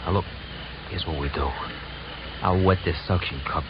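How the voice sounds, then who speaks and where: A shady, resentful American male crook played by a radio actor, low-voiced and edgy. He talks tough and pushes insistently, in 1950s radio crime drama style. The recording is muffled, with loud noise throughout. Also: normal speed, natural voice. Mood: tense, businesslike. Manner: agitated, insistent, tough-talking.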